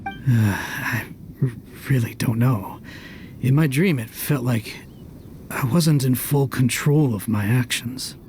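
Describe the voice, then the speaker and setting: A man voicing a drowsy male villager in a video game who has just woken, speaking slowly and uncertainly. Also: mid-range voice, slight background noise, lightly acted. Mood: dazed. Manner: slow, drowsy and unsure. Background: soft game music.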